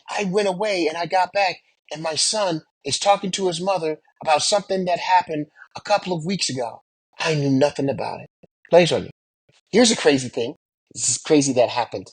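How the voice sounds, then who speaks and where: A man's voice: fairly high pitch, slightly rough, dry recording. A middle-aged American man telling anecdotes from his own life, speaking emphatically with repeated phrases and rhetorical questions. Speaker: military veteran and pilot sharing experiences. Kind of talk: anecdotal storytelling about personal experience.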